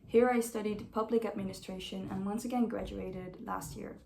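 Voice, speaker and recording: Woman in her twenties, earnest and chatty, average pitch, roomy echo. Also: fairly even intonation, normal speed, vocal fry, mellow, a little hiss or hum. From Netherlands. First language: Dutch. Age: 20s. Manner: earnest, conversational. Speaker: au pair applicant. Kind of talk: self-introduction video.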